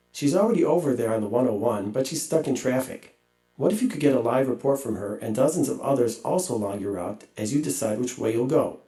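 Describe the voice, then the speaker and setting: Mellow man narrating a promo. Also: medium pitch, roomy sound, rough recording.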